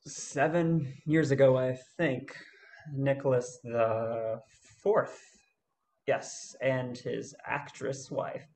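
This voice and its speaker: A man playing an easygoing gold-hunting adventurer in a radio play, telling his story in a halting way. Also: mid-range voice, fairly even intonation, darkish tone.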